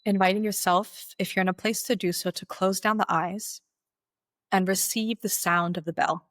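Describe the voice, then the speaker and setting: A woman leading a guided meditation as its guide, speaking in slow, deliberate instructional phrases with pauses. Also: mid-range voice, steady tone, fairly bright tone, no room sound, studio quality.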